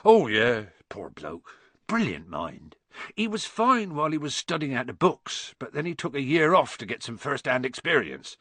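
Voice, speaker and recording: A man's voice: mid-range voice, very animated, moderate pace, a bit bright, dry recording. A man voicing a huge, friendly, rustic man with a hearty, blunt, colloquial way of talking in an audiobook. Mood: gossipy. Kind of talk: audiobook character acting.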